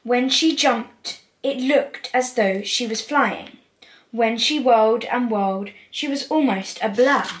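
A woman's voice: fairly high pitch, takes it slowly, slight background noise. A young girl reading a children's picture book aloud with careful expression and the odd stumble. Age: child, about 10 to 13. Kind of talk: read-aloud storytelling for children. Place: small room at home, indoors. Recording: echoey small room.

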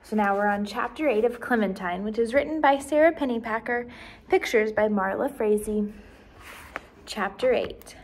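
A young woman with a fairly high voice reads a storybook aloud as a teacher would for small children. Her narration is expressive and carefully enunciated, with emphatic phrasing. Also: normal speed, slight background noise. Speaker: first grade teacher reading aloud.

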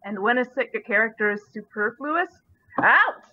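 A woman's voice: a little high, animated, normal speed. A woman narrating a live comic dub, reading and bantering through a slightly dull microphone.

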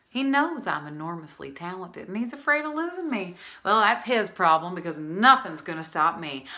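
An actress as a bright-voiced, paranoid aspiring singer. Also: female voice, deep voice. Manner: intense, boastful, insistent rambling. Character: scatterbrained, paranoid, self-deluded aspiring nightclub singer. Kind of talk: theatrical comic stage monologue.

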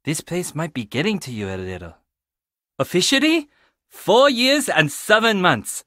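Animated geeky young tech tinkerer. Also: male voice, high-pitched, unhurried, no room sound, studio quality, exaggerated voice. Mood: casual, wry. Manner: animated, self-deprecating, rambling. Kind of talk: video game character dialogue.

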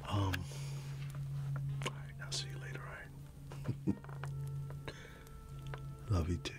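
A middle-aged man whispers close to the mic in a deep, low register, taking his time over every phrase. Gentle chuckles run through his soft, reminiscing talk, with clear music playing behind him in a dim room at home. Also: flat delivery, slow. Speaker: ASMR creator. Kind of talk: ASMR roleplay.

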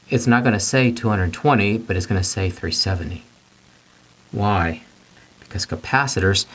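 Husky-voiced man teaching HVAC basics. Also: fairly low pitch, rough recording. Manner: plain-spoken, repeats key points, asks and answers his own questions. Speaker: HVAC instructor. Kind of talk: teaching to camera. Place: studio in front of a whiteboard.

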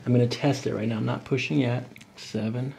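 A man in his thirties, a chiropractor, calmly giving coaxing hands-on instructions to a patient. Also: mid-range voice, fairly quick, gravelly, a little hiss or hum. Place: small office, indoors. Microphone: microphone on boom stand.

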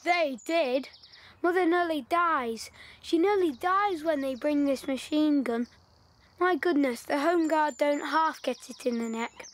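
A woman playing a lively, knowing wartime schoolboy in an audio drama. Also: high-pitched, unhurried. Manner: chatty, excitable storytelling.

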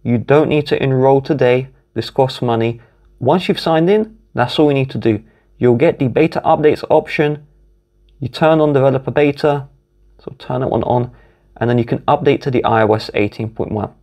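A British man talks through a phone tutorial one step at a time, instructive and methodical. His voice sits at an ordinary middle pitch. He records indoors at a desk with the phones in front of him. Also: moderate pace, mellow. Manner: instructive, methodical, walks through each tap. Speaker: tech tutorial host.

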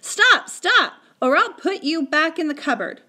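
A woman's voice: on the high side, big pitch swings, measured pace, a bit bright, voice pushed up. A woman voicing an anxious, earnest young boy in an audiobook, with an urgent, insistent manner. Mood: alarmed. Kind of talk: acted audiobook dialogue.

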